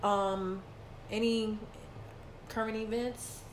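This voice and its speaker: A woman's voice: mid-range voice, little pitch movement, takes it slowly, echoey room, a little hiss or hum, poor audio. A woman in her late thirties co-hosting a podcast, talking casually and saying "like" a lot. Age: 30s. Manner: chatty, repeats herself, says "like" a lot. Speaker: podcast co-host.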